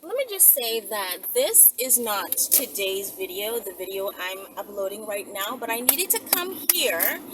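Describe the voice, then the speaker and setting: A woman's voice: medium pitch, big pitch swings, a little slow, few pauses. A woman talking casually and bluntly to her viewers, with a sassy manner, as a content creator. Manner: sassy, blunt, heavy swearing. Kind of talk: casual rant to camera, addressing viewers directly. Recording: distant mic with clear background noise.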